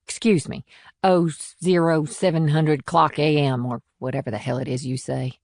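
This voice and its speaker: Gruff small-town policewoman, female actor, low husky voice, blunt and salty, audiobook drama, very dry sound. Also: steady tone, normal speed, a little mellow, dry recording. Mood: weary, blunt. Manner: blunt, irritable, salty-tongued. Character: gruff, groggy, sharp-tongued small-town policewoman who smokes. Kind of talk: audiobook character acting.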